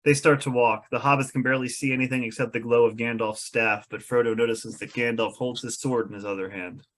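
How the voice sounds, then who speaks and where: A man's voice: medium pitch, fairly even intonation, brisk, a bit bright. A man reading stage directions aloud as narrator at a script table read.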